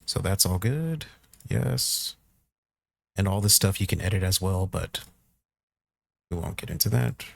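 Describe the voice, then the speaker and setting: A man giving a casual software walkthrough, thinking aloud informally as he works through each step. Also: low voice, lively intonation, a little slow, gravelly, pauses often, no room sound, studio quality. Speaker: tutorial presenter.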